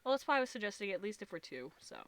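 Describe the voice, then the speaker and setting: A woman's voice: medium pitch, sing-song, rapid-fire, a bit bright. A woman with an American accent hosting a casual, goofy livestream in a playful, theatrical way. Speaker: streamer, host.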